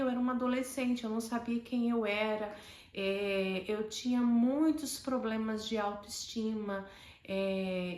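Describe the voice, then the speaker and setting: Hesitant Northeastern Brazilian woman, echoey. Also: a little high. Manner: hesitant, many fillers and restarts. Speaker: host. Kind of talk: personal storytelling to camera.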